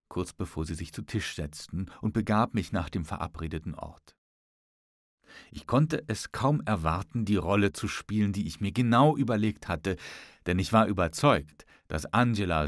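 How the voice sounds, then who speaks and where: A man reads literary prose as an audiobook narrator. His voice is fairly low and the recording is dry and close, without room sound. He tells the story in a measured, expressive way. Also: no echo.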